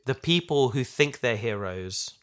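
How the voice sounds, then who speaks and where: A man's voice: mid-range voice, expressive, unhurried, fairly bright tone. A man co-hosting a casual discussion, speaking hesitantly as he thinks aloud. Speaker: podcast co-host and audio drama creator.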